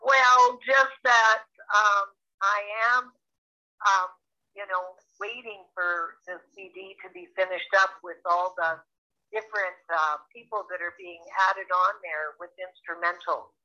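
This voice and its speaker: A raspy, gritty-voiced woman in her sixties whose tone is bright and forceful. She is a singer-songwriter who sounds rough from much singing, and she rambles with lots of ums. The sound comes over a dull, lo-fi phone line. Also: medium pitch, steady tone, unhurried. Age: 60s. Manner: rambling, with many ums and restarts. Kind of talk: casual phone interview.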